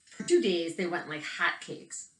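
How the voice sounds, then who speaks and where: A woman reads a children's picture book aloud expressively, pausing to chat about the pictures as if to young children. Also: on the low side, animated, fairly bright tone. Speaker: read-aloud storyteller. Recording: roomy, echoing indoor recording.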